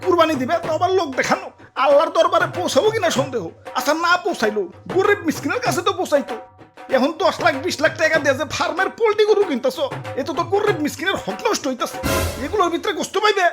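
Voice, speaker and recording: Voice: very high voice, lively intonation, very fast, music in the background. An actor voicing an angry, bossy talking bull in a comedy cartoon, scolding in colloquial Bangladeshi Bengali. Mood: indignant scolding. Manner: scolding, haranguing, sarcastic.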